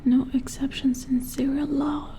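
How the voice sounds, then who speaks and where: Female engineer played by a woman for ASMR robot-repair roleplay; mellow, very creaky whisper, unhurried, mildly curious, thinking aloud. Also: high-pitched, flat delivery, a little hiss or hum. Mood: matter-of-fact, explaining. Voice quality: breathy whisper with creaky edges. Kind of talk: soft-spoken ASMR roleplay.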